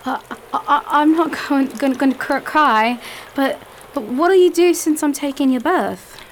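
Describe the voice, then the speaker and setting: A woman's voice: sits high, measured pace, a little hiss or hum. A young woman playing a frightened, stranded companion who pleads anxiously in an amateur radio drama. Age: young adult.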